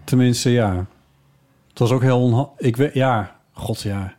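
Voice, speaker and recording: Man in halting, casual conversation. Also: mid-range voice, mellow. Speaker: podcast host. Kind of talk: casual chat.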